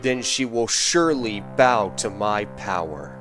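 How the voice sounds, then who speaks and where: Male actor for a cartoon schemer: slow, measured, smugly polite. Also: fairly low pitch, lively intonation, a bit bright, faint music behind. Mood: smug formal. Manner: overly formal, smug, measured. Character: scheming, smug adult male secret agent posing as barista. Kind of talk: fan comic dub voice acting.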